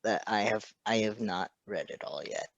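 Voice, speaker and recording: A woman's voice: a bass voice, lively intonation, normal speed, vocal fry, no echo, dull audio. A woman co-hosting a fan fiction reading, chatting casually and giggling. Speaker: co-reader and podcast co-host. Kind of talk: casual chat.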